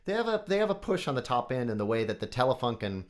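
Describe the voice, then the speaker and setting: A man's voice: medium pitch, very animated, fast. A man with a nasal voice, a guest on a podcast, chatting casually and technically about microphones. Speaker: guest, microphone company CEO.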